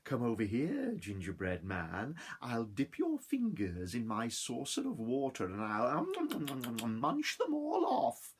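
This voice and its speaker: A man voicing a hungry cat with a high, yowling, drawn-out voice. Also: wildly swooping pitch, slight background noise. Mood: sly greedy.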